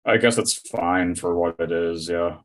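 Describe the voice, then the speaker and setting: A man's voice: deep voice, unhurried. A man co-hosting a podcast in casual chat, laid-back and noncommittal. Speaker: podcast co-host.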